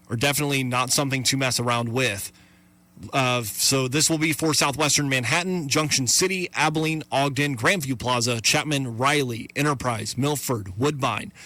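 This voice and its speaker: Bright-voiced male radio severe weather broadcaster. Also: medium pitch. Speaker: radio weather coverage host.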